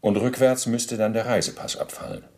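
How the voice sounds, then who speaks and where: A man's voice: mid-range voice, fairly even intonation, a little fast, a little mellow, close to an everyday voice. An actor playing a self-justifying former SS officer under interrogation in a radio play, speaking formal, pedantic officialese with deference to his questioner. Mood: officious, explanatory. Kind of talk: radio play, testimony monologue.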